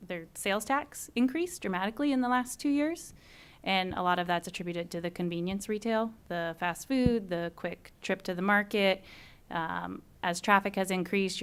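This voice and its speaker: Woman, low-ish pitch, smooth clear voice, explaining answers directly. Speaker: appraiser on a panel. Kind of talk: panel Q&A answers.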